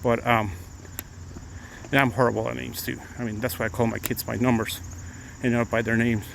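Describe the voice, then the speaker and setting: A man's voice: medium pitch, little pitch movement, moderate pace, vocal fry, noisy background. A middle-aged Mexican-born man with a Mexican accent, chatting loosely on a live stream, rambling with many restarts as he walks outdoors. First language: Spanish. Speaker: attorney vlogger. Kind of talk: casual live-stream chat. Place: outdoors on a morning walk.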